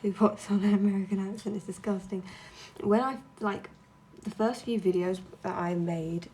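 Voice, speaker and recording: A woman's voice: mid-range voice, steady tone, moderate pace, warm tone. A young woman streaming while she draws, chatting casually in a blunt, sweary, ranting way. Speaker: streamer and artist.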